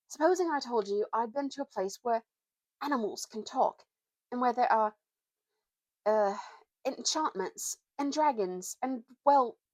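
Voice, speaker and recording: A woman voicing an earnest, secretive schoolboy in a story reading, speaking solemnly and haltingly in old-fashioned schoolboy slang. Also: fairly high pitch, no room sound, pitched above the performer's own voice. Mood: hesitant, earnest.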